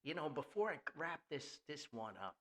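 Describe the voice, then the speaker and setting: A man's voice: a little high, sing-song, moderate pace, a bit bright. An older man hosting a casual, rambling podcast in a folksy, jokey manner. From Paterson, New Jersey, US. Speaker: podcast host.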